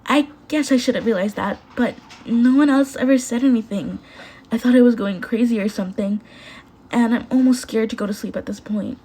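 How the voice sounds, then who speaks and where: A woman voicing an upset, high-strung and bubbly teenage girl in a story, blurting things out emotionally. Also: fairly quick, mellow, slightly rough. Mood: upset, self-conscious.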